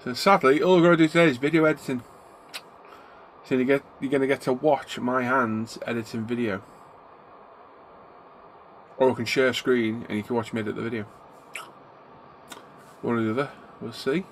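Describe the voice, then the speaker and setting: A man's voice: medium pitch, steady tone, moderate pace, pauses often, slight background noise. A middle-aged man, hosting a casual live stream from his hobby room. He sounds bunged up from a cold and greets his viewers by name as he chats. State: congested, getting a cold.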